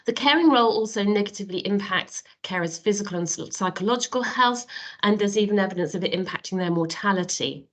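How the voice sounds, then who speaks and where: A woman speaks with a stuffy, nasal sound from a cold. She presents as a professor over a video call. Her delivery is measured and explanatory. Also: mid-range voice. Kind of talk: online webinar presentation.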